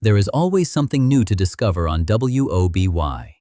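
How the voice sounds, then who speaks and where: A man's voice: lowish voice, very animated, brisk. A man reading a scripted channel promo as an announcer.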